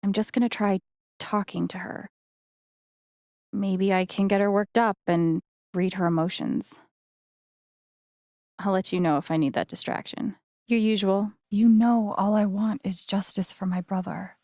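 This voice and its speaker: A woman's voice: medium pitch, steady tone, unhurried, long pauses, no room sound, natural voice. Woman acting young game heroine, friendly n wry, chatty natural delivery, very dry recording. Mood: wry, teasing, then earnest, determined. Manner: casual, conversational, gently teasing.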